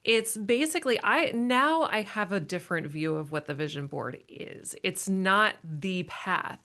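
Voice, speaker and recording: A woman's voice: medium pitch, unhurried, pushed and bright. A woman in her forties, a podcast guest, talking in long reflective runs in a casual interview. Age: 40s.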